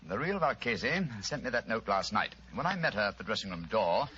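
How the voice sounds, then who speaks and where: An actor playing a shrewd, commanding English gentleman detective in an old radio drama, precise, with an English accent. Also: fairly low pitch, big pitch swings, fairly quick. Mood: explaining. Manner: urbane, precise, authoritative. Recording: muffled, noisy old radio recording.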